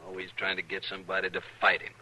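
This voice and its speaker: A man's voice: deep voice, completely flat delivery, quick pace, bright, forceful tone, exaggerated voice. A man playing an aggrieved saloon drinker in a radio western, with an American Western accent, curt and indignant. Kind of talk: 1950s radio western acting. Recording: noisy old radio recording.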